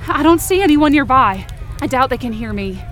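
A woman acts out a determined, desperate young female rescuer in a supernatural fiction podcast. Her voice sits somewhat high. She narrates in the present tense with intense, emotive urgency. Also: expressive, normal speed, fairly bright tone, slight background noise. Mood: frantic, frustrated. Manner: intense, emotive, swearing under strain. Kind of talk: dramatic first-person present-tense audio drama narration.

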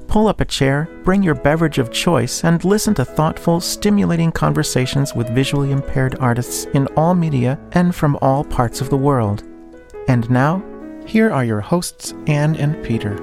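A man's voice: on the high side, normal speed, creaky. A man reading a scripted show introduction as an announcer. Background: guitar music.

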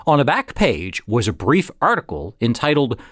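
A man narrating a story in a deliberate, dramatic way, with expressive phrasing and suspenseful pauses. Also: medium pitch, dry recording, clean studio sound. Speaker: audiobook narrator.

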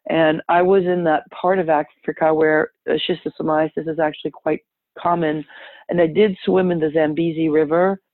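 A woman's voice: deep voice, flat delivery, moderate pace, dry recording. Muffled-line woman guest, native French speaker. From born and raised in Paris, France, to American parents. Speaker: interview guest, health advocate. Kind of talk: conversational personal storytelling. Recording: muffled, band-limited remote line.

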